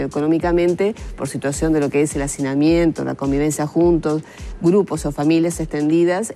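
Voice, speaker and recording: A woman with a Uruguayan accent, a doctor giving a measured, didactic explanation in an interview. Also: deep voice, warm tone. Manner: measured, didactic, asks and answers own questions. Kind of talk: spontaneous expert explanation.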